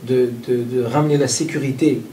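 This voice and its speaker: A man from Belgium answering interview questions in a played clip, halting with many fillers and repeated words. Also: mid-range voice, fairly even intonation, dark tone, distant mic, a little hiss or hum, poor audio. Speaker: interviewee, group spokesman.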